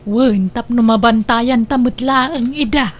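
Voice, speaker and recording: A woman's voice: fairly high pitch, slow pace, a little hiss or hum. A woman playing a practical, accepting mother in an audio drama, with an Ilocano accent and a motherly, concerned manner.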